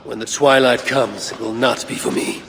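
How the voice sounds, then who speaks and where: An actor playing a proud, wry Norse god in middle age in a video game, speaking in measured, dry, archaic phrases. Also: male voice, medium pitch, fairly even intonation, lightly acted. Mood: wry, haughty. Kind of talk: game cutscene acting. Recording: long hall reverb, faint background noise.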